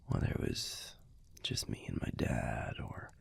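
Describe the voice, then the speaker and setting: A man playing a gruff but tender young werewolf boyfriend in an intimate audio roleplay, with an American accent and a soft, husky, laid-back murmur. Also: whispered, lightly acted. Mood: fond, nostalgic. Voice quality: breathy, husky, gravelly low voice. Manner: laid-back, murmured, halting, casual swearing. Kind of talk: intimate audio roleplay, speaking softly to the listener.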